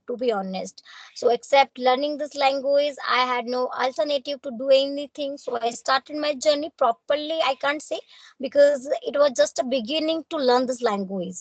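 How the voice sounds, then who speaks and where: A woman's voice: sits high, no room sound. A young woman with a North Indian accent and Hindi as her first language, speaking English in a formal, courteous, earnest way in a practice conversation. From Punjab, India.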